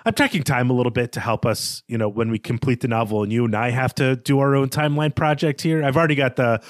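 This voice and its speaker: A man's voice: medium pitch, fast talker, no echo. Male podcast host, close dry sound. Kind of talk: conversational literary discussion.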